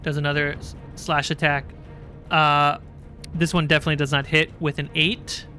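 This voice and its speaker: A game master with a bright, forward voice at a middling pitch narrates a tabletop roleplaying game. The delivery moves between describing action and making casual rulings, with music playing behind it. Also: flat delivery, normal speed. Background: background music.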